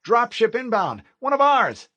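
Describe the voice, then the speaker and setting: A man's voice: very high voice, very animated, measured pace, pushed and bright, no echo, voice pushed up, full character voice. A man reading an audiobook, voicing a nervous young male marine private who speaks in a shaky, edgy way.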